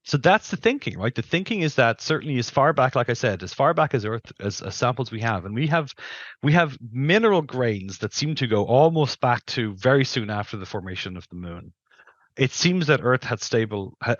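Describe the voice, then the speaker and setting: A man's voice: mid-range voice, no room sound, lo-fi sound. A middle-aged man giving careful, qualified explanations as an interview guest, speaking into a headset on a video call. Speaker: guest planetary scientist.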